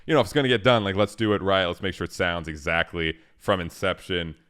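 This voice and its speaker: A man hosting a casual interview, enthusiastic and quick to laugh. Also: low voice, smooth. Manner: enthusiastic, animated, laughs often. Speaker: host.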